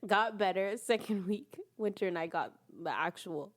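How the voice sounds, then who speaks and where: A woman's voice: mid-range voice, normal speed. A young woman co-hosting a casual podcast, upbeat and reflective, a little stuffy from a cold. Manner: upbeat, reflective, frequent "um" and laughs. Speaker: podcast co-host. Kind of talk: casual chat.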